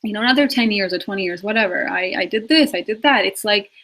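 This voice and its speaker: Twenty-something woman coach chatting live, earnest, repeats herself a lot, mid-pitched voice. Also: fast talker, fairly bright tone. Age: 20s. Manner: earnest, repetitive, emphatic, with laughs and restarts. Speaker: life coach. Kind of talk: live Q&A talk on spirituality.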